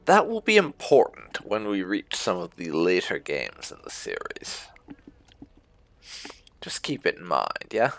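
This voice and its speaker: A man's voice: low-pitched, huge pitch swings, a little slow, vocal fry. A man giving casual, explanatory playthrough commentary as a gaming host, talking informally and close to the mic. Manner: informal, explanatory, reading dialogue choices aloud.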